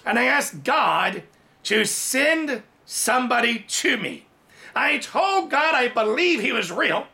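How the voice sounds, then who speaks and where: A man in his forties, raised in Thailand, preaching a sermon in his study and telling a personal testimony with lively hand gestures, recorded on a camera at desk distance. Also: extremely high pitch, gravelly. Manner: animated storytelling with big hand gestures. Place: home study with bookshelves, indoors.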